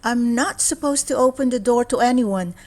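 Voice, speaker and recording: A woman's voice: a little high, normal speed, darkish tone, voice pushed up, lightly acted. A woman reading the part of a sweet, polite young girl in a fairy tale. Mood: wary.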